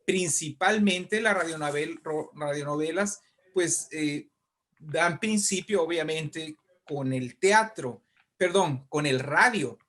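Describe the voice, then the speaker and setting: A man's voice: fairly high pitch, slow pace, a bit bright. A man with a Mexican accent speaking conversationally as an interview guest, explaining in an emphatic, discursive way and often checking in with the listener. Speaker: invited guest, writer and poet.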